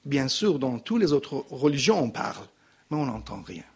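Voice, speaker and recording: A middle-aged man from Iran with a Persian accent, giving a halting personal testimony to a congregation into a handheld mic, often restarting and searching for words. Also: medium pitch, sing-song, creaky, husky. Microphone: handheld microphone.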